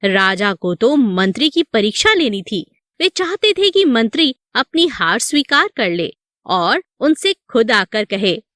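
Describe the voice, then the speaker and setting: Slow, animated woman storyteller. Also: on the high side, dry recording, studio quality. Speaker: narrator. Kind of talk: scripted children's story narration.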